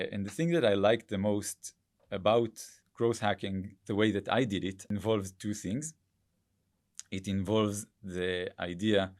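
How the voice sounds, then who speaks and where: A man's voice: lowish voice, darkish tone. A man in his thirties or forties with an Israeli accent, a Hebrew speaker explaining things step by step as a podcast guest. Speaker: guest, growth hacker. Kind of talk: podcast interview.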